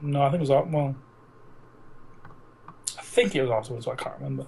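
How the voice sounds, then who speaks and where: A young man co-hosting a casual film podcast, chatting in a halting way and repeating words as he goes. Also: mid-range voice, gravelly, a little mellow. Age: young adult. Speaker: podcast co-host. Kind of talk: casual chat about films. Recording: noisy amateur podcast recording.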